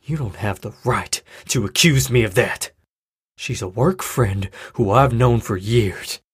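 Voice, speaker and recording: A man's voice: fairly low pitch, unhurried, slightly rough. A middle-aged man playing a weary widowed father, caring but defensive, in a naturalistic audio drama. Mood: defensive, hurt.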